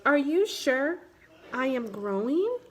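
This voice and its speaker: A woman's voice: high voice, very animated, slow pace, full character voice. A woman reading the part of a curious little boy in a storybook, earnest and childlike, eager to grow up. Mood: wondering. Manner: earnest, childlike questioning and declaring.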